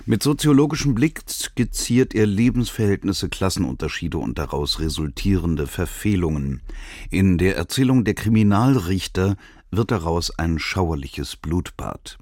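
A man's voice: deep voice, expressive, a little slow, darkish tone, no room sound. A man speaks. He presents like a radio host reading a prepared introduction. The sound is close and dry, with hardly any room to it. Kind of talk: scripted narration, radio introduction.

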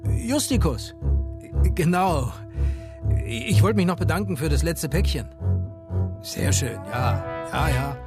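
An actor playing a courteous, halting male customer making a call in a radio crime drama. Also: fairly high pitch, lively intonation, creaky, over music, full character voice. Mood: polite.

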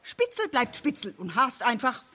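A woman's voice: a little high, very animated, normal speed, bright, forceful tone, slightly rough, full character voice. An actress playing a haughty, indignant upper-class mother in a radio drama, imperious, theatrical and bullying. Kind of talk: German radio drama acting.

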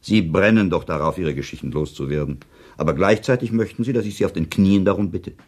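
A man's voice: low voice, fast talker, a little mellow. An actor playing a cynical, impatient male listener who contradicts in a dry, argumentative manner, in a literary radio play. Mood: impatient, irritated.

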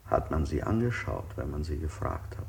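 Unsettling radio-drama interrogator: gravelly, swooping intonation, insistent questioning, faintly hissy 1960s recording. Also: male voice, very deep voice, very animated, moderate pace, darkish tone, exaggerated voice. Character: probing, unsettling male interrogator firing odd questions. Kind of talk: German radio play acting.

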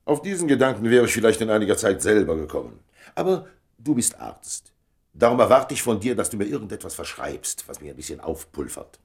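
Important gentleman in his fifties, low-pitched, lively intonation, urbane, weary, dutiful, radio play. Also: fairly quick. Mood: insistent. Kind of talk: German radio play acting.

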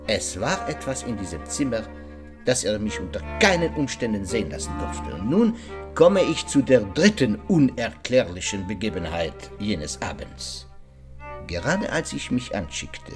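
Theatrical, self-assured detective, very animated. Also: male voice, medium pitch. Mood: measured, intriguing. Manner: deliberate, rhetorical questions, suspenseful explaining. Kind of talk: radio crime drama acting, dramatic monologue.

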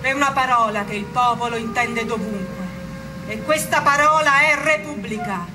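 A woman's voice: fairly high pitch, normal speed, recorded from a distance. Solemn woman narrator over music. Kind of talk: dramatic scripted narration and declamation.

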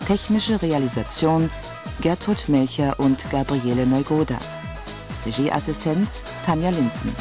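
A woman's voice: low-pitched, a little slow. A woman announcer reading scripted title and credit announcements for a radio drama. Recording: music behind the voice.

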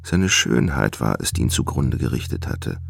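A man narrating a literary radio drama in a measured, deliberate way. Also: very deep voice, very animated, creaky. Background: music.

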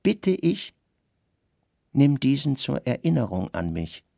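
A man playing an imperious Roman governor, lively and gravelly. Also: medium pitch, takes it slowly, dry recording, studio quality, full character voice. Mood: solemn. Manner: terse, imperious, questioning. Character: stern, commanding Roman governor, impatient and troubled. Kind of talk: literary radio drama.

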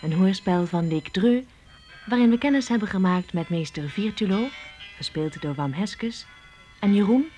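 A woman's voice: medium pitch, lively intonation, moderate pace, warm tone. A woman reading the scripted closing announcement of a Dutch radio play. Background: music.

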